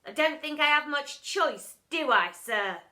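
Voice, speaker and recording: Woman as cheeky schoolboy, high-pitched, eager, exclaiming, unbothered, bright, storybook character. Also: lively intonation, unhurried, full character voice.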